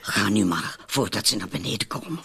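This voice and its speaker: Man as husky, animated, needling woman, Marilyn. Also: lowish voice, brisk, full character voice. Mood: wary, anxious. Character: wary, needling woman named Marilyn, accomplice in poisonings. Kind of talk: radio drama acting.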